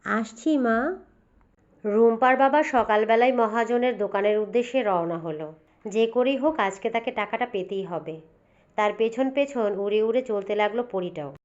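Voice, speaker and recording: A woman narrating a cartoon fairy tale in a steady, expressive storytelling manner. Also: fairly high pitch, normal speed. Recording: dull, lo-fi recording.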